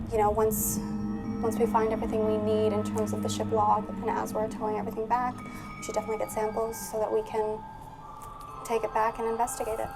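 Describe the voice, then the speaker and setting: A woman playing a tabletop roleplaying game, talking in character in a firm, argumentative way. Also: fairly high pitch.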